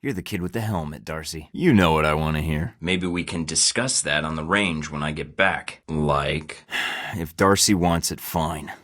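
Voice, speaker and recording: A deep, wisecracking American spy. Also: male voice, moderate pace, a bit bright, no room sound. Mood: wry. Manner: wisecracking, dry sarcastic quips. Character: sarcastic young male American spy agent. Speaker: spy agent protagonist. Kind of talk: video game voice acting.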